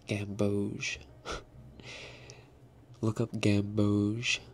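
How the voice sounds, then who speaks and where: A young man hosting an ASMR live stream, answering chat in a soft, half-whispered, slightly raspy voice and laughing often. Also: fairly low pitch, level tone, very slow, slight background noise. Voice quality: a little raspy.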